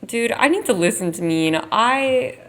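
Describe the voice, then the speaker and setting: A woman's voice: a little high, lively intonation, a little slow, a bit bright. A young woman vlogger chatting casually as she ranks songs, her voice worn from losing it, rambling with lots of "like". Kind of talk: casual tier-ranking chat.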